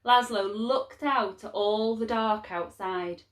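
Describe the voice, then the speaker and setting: A woman reading a story aloud to young children in a measured, expressive way with clear phrasing. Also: medium pitch, echoey room.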